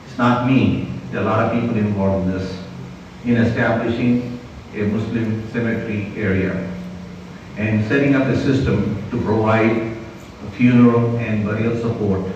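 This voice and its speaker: Older man, non-native English, slow deliberate address, distant dull echoey hall recording. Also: fairly low pitch, darkish tone, slight background noise. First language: not English. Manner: slow, deliberate, frequent "you know" and "uh". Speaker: community speaker. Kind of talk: community address to a congregation.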